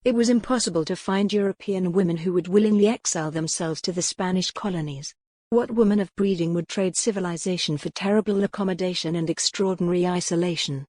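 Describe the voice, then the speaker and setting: A woman's voice: fairly low pitch, quick pace, a little mellow, no room sound. A woman reading a scripted work of fiction as an audiobook narrator.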